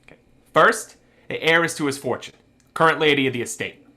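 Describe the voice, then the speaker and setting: An actor playing a brisk, wry policeman in a radio murder mystery, talking in a conversational, emphatic way. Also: a little high, sharp tone. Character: brisk, wry policeman briefing an inspector on suspects.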